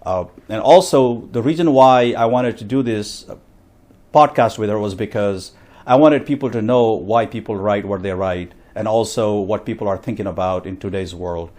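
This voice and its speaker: A man's voice: lowish voice, normal speed, a little mellow. A man speaking as a talk show host in a conversational interview.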